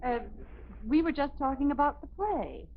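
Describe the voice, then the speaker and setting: An actress playing a polite, peacemaking middle-aged housewife, courteous and gently chiding, in 1950s radio comedy style. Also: female voice, high voice, animated, moderate pace. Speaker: mother in a family sitcom. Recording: muffled, faintly noisy 1950s radio recording.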